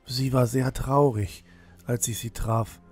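A man's voice: mid-range voice, steady tone, slow, a little mellow, soft background music. A man playing an uneasy, awkward teenage temple boy in a fantasy audio drama, hesitant and evasive. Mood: nervous, evasive.

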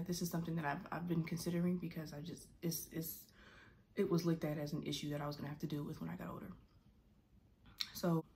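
A woman in her twenties chats to her camera from a bedroom, circling back and restarting her sentences as she goes. Her voice sits on the low side and stays fairly level, with plenty of vocal fry at the ends of phrases. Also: darkish tone, a little hiss or hum. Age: 20s. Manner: rambling, frequent restarts and "like". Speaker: vlogger. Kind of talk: casual personal vlog. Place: bedroom, indoors. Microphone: camera at desk distance.

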